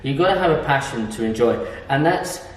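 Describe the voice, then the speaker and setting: A man's voice: mid-range voice, animated, brisk. A middle-aged man chatting as an interviewer, emphatic and agreeable. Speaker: interviewer and property investor. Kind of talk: conversational interview. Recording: echoey room, distant mic, faint noise.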